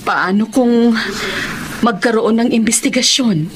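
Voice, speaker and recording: Radio drama mother female actor: middle-aged, raised pitch, emotional, devoted, clear background noise. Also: a little slow, close to an everyday voice. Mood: worried.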